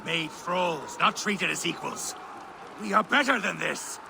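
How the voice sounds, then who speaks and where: An actor playing a brutal, scornful, bloodthirsty Dane warlord in a video game, taunting with contempt. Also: male voice, a little high, expressive, a little slow, vocal fry, noisy background, full character voice. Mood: contemptuous. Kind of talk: video game dramatic acting. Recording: loud music behind.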